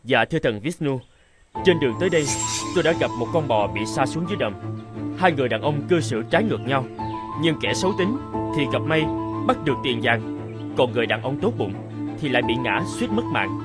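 A man's voice: medium pitch, very animated, brisk, close to an everyday voice. A man voicing a humble, earnest young pilgrim in a children's cartoon, speaking politely and deferentially. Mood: humble, puzzled. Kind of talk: dubbed children's cartoon acting. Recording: prominent music under the voice.

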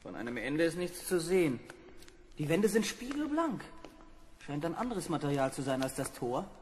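A man playing an alert, wry astronaut with dry, brisk remarks in an old German radio play. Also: a little high, sing-song. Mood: curious. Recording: reverberant hall with background noise.

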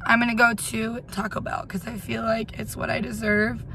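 A young woman talking to her phone from the driver's seat, rambling and self-mocking in a fairly high voice. Her chatter is recorded on a dashboard phone, with heavy road and engine rumble underneath. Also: flat delivery, normal speed. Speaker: vlogger. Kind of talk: casual chatty car vlog. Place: inside a car, driving. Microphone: phone camera on the dashboard. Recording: loud road and engine noise.

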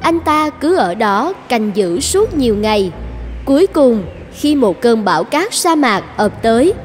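A woman narrator reading a scripted fairy tale in a slow storytelling style. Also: fairly high pitch, sing-song, in a large hall, a little hiss or hum. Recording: music bed under narration.

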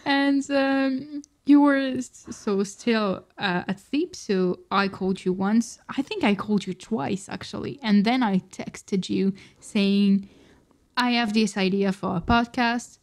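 A woman's voice: mid-range voice, takes it slowly, a little mellow. A woman from France with a French accent, a native French speaker, co-hosting a podcast and telling stories in a chatty, casual way with frequent restarts. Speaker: podcast co-host. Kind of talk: casual chat.

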